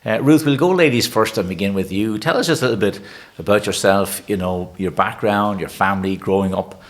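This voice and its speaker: Low-voiced man interviewing gently. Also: brisk. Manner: gentle, hesitant questioning.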